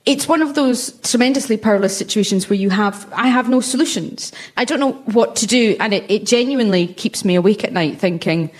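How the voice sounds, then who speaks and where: A woman's voice: mid-range voice, brisk, few pauses. A woman speaking earnestly and off the cuff as a panellist in a discussion.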